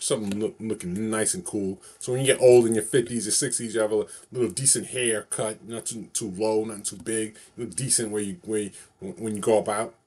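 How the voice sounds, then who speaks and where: A man's voice: lowish voice, a little mellow, slightly rough. Rambling New man in his forties. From New York City. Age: 40s. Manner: repetitive, rambling, loops over the same phrases. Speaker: vlogger. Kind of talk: casual solo vlog to camera. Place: small bedroom, indoors.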